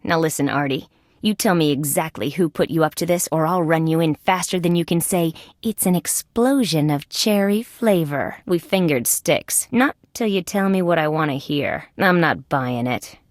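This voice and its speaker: A determined young female insect detective, acted by a woman for an adventure video game. Her voice sits a little low, with wry, conversational, clearly spoken delivery. The recording is very dry, with no room sound. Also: moderate pace, no echo, close to an everyday voice. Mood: firm, threatening. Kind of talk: scripted adventure game voice acting.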